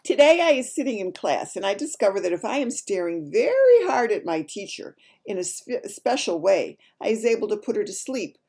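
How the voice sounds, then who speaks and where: A woman voicing a child gleefully recounting dreams in mangled grammar, in an excited, emphatic storybook reading. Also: mid-range voice, normal speed, few pauses, voice pushed up. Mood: gleeful, mischievous.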